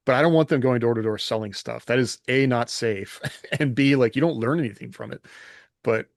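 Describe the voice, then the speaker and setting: A man's voice: medium pitch, fairly quick, vocal fry, no echo, studio quality. A middle-aged American man chatting casually as a podcast co-host, candid and self-deprecating. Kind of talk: casual chat.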